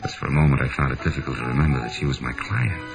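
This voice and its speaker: An American actor playing a wry, hard-boiled private detective in a radio drama, with a sardonic, clipped, slangy delivery. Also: male voice, very deep voice, animated, very fast, creaky, full character voice. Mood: brooding narration. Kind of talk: 1940s-style radio drama acting and hard-boiled narration. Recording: old radio broadcast with music.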